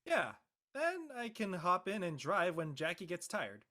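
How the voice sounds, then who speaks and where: A man's voice: high-pitched, huge pitch swings, a little slow, bright, forceful tone, heavily acted. Actor for young male best friend, drama, easygoing, supportive, with a teasing streak. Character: devoted, reassuring young man, gently teasing. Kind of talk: acted dialogue in an audio-led play.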